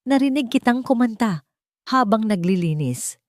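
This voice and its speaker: A woman's voice: on the high side, animated, darkish tone, dry recording, voice pushed up, natural voice. Woman voicing earnest, tender man in love, close-miked. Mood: tender, sincere. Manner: slow, emotional, intimate delivery. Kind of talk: dramatic romance audio story.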